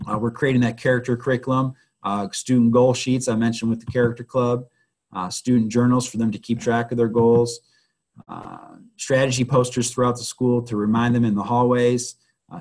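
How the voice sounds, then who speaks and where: A man presenting a school webinar, hoarse and losing his voice, who often clears his throat and speaks haltingly with many fillers. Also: mid-range voice, darkish tone. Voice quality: husky.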